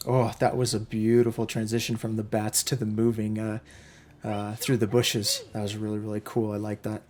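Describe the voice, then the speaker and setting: A hoarse young man in his twenties hosting a casual film reaction, chatty and excitable, with many fillers. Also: lowish voice, moderate pace, faint music behind. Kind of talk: casual movie reaction commentary.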